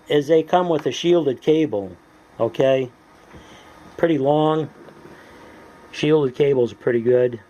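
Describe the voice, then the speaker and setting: A man with a mid-range voice talks through a casual gear tutorial at a very slow pace. He is plain-spoken and deliberate, often halting as he explains things like a hobbyist showing equipment. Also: medium pitch, a little mellow, low-quality recording. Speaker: hobbyist presenter demonstrating radio gear.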